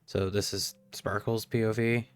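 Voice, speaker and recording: A man's voice: lowish voice, little pitch movement, moderate pace, faint music behind. A man streaming a game, giving casual, blunt commentary with exasperated swearing. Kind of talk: live gaming commentary, casual.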